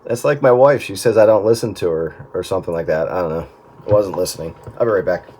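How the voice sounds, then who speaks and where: Man, lowish pitch, casual technical radio chat, hesitant, thinking aloud. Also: quick pace, darkish tone. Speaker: amateur radio operator.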